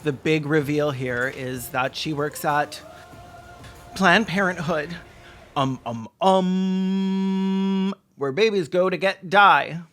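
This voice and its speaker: A man with a fairly high voice hosts a film reaction video. He is sarcastic and snarky, leaning on dramatic emphasis and crude jokes as he comments. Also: takes it slowly, fairly bright tone, faint music behind. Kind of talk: sarcastic comedic movie commentary.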